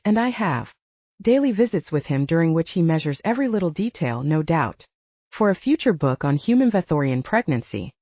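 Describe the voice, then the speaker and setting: A woman's voice: fairly low pitch, mellow, no echo, dull audio. A woman reading a first-person novel aloud as an audiobook narrator in a scripted storytelling style.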